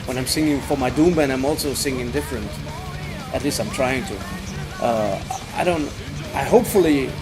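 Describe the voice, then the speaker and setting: German-accented singer, halting speech. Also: male voice, medium pitch, over music. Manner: halting, many fillers and restarts. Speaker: band singer. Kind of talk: casual interview chat.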